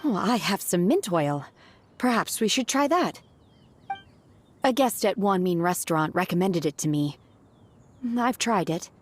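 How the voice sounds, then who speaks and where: A calm, detached young woman disciple in a fantasy game. She speaks evenly and matter-of-factly, in a fairly low, cool voice. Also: a little slow, pauses often. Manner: cool, even and matter-of-fact.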